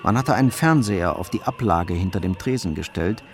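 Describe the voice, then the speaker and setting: A man narrating a crime radio play in a sober, measured storytelling manner. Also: low voice, expressive.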